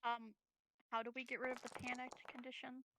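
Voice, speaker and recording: A woman's voice: a little high, level tone, brisk, bright, forceful tone, a little hiss or hum, low-quality recording. A woman talking casually as a player in a tabletop roleplaying game.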